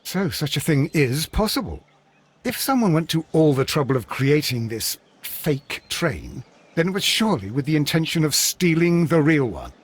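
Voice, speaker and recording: An actor playing a loyal, earnest British gentleman, a detective's companion in a video game, with a husky voice and a polite, slightly put-upon manner. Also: fairly high pitch, sing-song, normal speed. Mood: puzzled. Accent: British English. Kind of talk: video game character acting.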